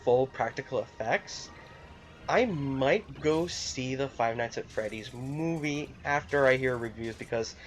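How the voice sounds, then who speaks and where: A man's voice: medium pitch, measured pace. A man talking casually and off the cuff as a gaming vlogger, in a rambling, hesitant way with repeated phrases. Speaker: video game YouTuber. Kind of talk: casual unscripted opinion vlog. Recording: dull lo-fi mic, music behind. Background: background music.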